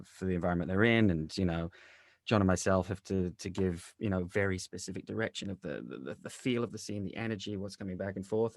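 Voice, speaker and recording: A man explaining his work conversationally as an interviewed director, in a measured, halting way. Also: low voice, dry recording, clean studio sound. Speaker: audio drama director being interviewed.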